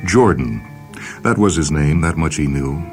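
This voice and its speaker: A man hosting and reading speculative short stories in a measured, solemn dramatic narration. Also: extremely low pitch, lively intonation. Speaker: host and story reader. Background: music and sound cues.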